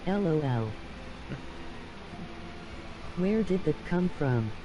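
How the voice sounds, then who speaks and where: Low-pitched female text-to-speech voice for stream chat messages, clear noise behind. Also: animated, slow pace, muffled recording.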